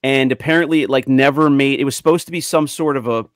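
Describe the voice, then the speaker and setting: Man, middle-aged, mid-pitched, casual panelist, close dry microphone. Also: fast, no room sound. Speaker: panelist on a toy collecting show. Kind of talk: casual group chat. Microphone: large microphone up close.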